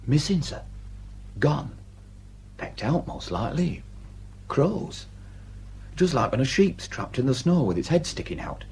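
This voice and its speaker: A man's voice: mid-range voice, very animated, measured pace, gravelly, pauses often, a little mellow, slight background noise. A man acting a deferential, plain-spoken village sergeant with a Northern English accent in a radio drama. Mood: grim, hushed.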